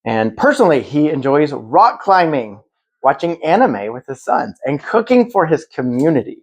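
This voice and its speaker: Man moderating, measured and explanatory. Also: fairly high pitch, big pitch swings, breathy edge, dull audio. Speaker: moderator of a panel event. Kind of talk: prepared introduction to a live public conversation.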